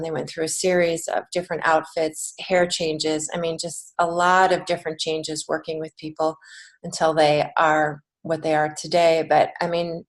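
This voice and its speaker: An American woman talking casually as an interview guest, earnest and reflective, often halting and restarting her thoughts. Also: low voice. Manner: earnest, reflective, halting with many false starts. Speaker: podcast guest, children's author and creative writing teacher.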